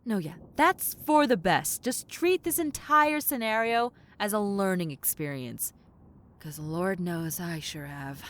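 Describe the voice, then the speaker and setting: A woman's voice: mid-range voice, animated, measured pace, close to an everyday voice. A woman playing a centuries-old tomboy succubus in an audio roleplay, wry and flustered but kind, talking casually to the listener. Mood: earnest warning.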